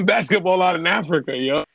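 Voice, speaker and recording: Excitable man chatting, slightly raised voice. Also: high voice, moderate pace, vocal fry, highs cut off. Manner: excitable, laughs often, slangy banter. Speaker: podcast co-host. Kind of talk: casual chat.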